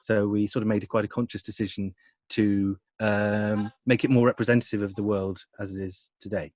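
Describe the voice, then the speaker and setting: A man's voice: lowish voice, level tone, fairly quick, darkish tone, dry recording. A British man giving conversational, explanatory interview answers as a radio drama producer, with dry humour.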